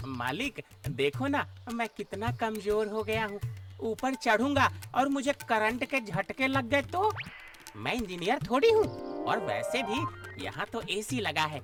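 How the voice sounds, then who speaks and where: A man's voice: high-pitched, sing-song, unhurried, fairly bright tone, faint music behind, full character voice. A man playing a whiny, work-shy clerk in a comic cartoon, cheeky and wheedling, with exaggerated delivery. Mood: whiny excuses.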